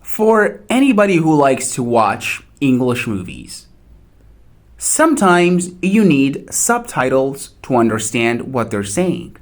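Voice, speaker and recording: This native Portuguese speaker gives an English lesson at an unhurried, careful pace. The pitch rises and falls expressively. Each point is spoken straight to the listener. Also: big pitch swings. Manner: slow, deliberate, addresses viewer directly. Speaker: English teacher. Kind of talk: instructional talk to learners.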